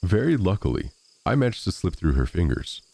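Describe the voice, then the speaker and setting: A man's voice: sits low, very animated, a little fast, creaky, a little mellow, heavily acted. A man voicing a friendly talking straw in a storybook, speaking in a formal, old-fashioned way. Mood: earnest. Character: talking straw, friendly, lucky survivor with ideas.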